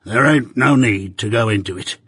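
A man voicing a rough older male ex-convict recounting his past in rustic dialect, confiding and plain. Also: fairly low pitch, normal speed, fairly bright tone, no echo.

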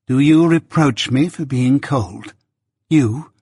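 A man voicing a proud, self-possessed young adopted daughter in a novel reading, speaking with composed, measured, unyielding delivery. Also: medium pitch, darkish tone, slightly rough, no echo. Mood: cool reproach.

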